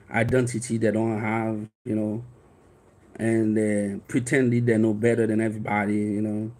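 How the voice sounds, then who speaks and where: A man's voice: fairly low pitch, a little mellow. A man in his fifties from Haiti with a Haitian accent, a life coach telling a personal story in a rambling, earnest way with frequent restarts. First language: Haitian Creole. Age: 50s.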